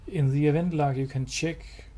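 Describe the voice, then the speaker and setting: A man giving a hands-on product demo indoors, explaining step by step in a halting, matter-of-fact way. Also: fairly high pitch, fairly even intonation, warm tone. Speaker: product demonstrator. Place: indoors, showroom with wall-mounted devices. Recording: clear background noise.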